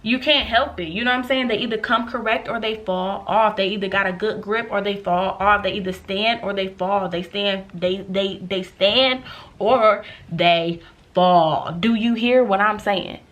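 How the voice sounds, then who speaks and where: A woman in her late twenties telling a storytime to her viewers in an animated, chatty way, calling them y'all. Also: medium pitch, normal speed. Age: 20s. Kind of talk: casual storytime vlog.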